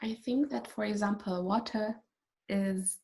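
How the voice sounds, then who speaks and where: A woman whose first language is German, speaking English as an artist guest, thoughtful and halting. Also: medium pitch, fairly even intonation, gravelly. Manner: thoughtful, halting, soft laughs. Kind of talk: casual conversation.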